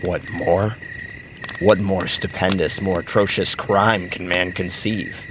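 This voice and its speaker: An actor playing an appalled, fearful witness in an ancient tragedy, declaiming weighty verse with a gravelly voice and awestruck delivery. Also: male voice, low-pitched, fairly even intonation, takes it slowly, background noise. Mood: horrified, disbelieving. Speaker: actor in a staged reading of classical tragedy. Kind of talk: solemn theatrical verse declamation.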